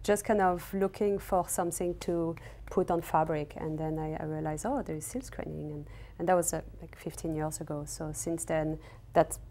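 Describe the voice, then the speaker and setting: A woman's voice: low-pitched, fairly even intonation, normal speed, warm tone. A woman with a French accent, raised in West Africa, answering interview questions hesitantly with many fillers. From raised in Senegal and Cameroon. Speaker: textile artist guest. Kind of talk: casual interview. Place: her studio.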